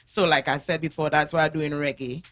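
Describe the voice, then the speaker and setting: A woman, a reggae singer chatting as a guest and giving thanks to the Most High. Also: steady tone, fairly quick, crowd noise. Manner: devout, warm-voiced, breaks into song. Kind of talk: casual chat with sung snippets.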